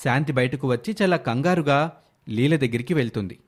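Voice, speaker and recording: A man's voice: medium pitch, fairly quick. A man reading scripted narration for a moral story as its narrator.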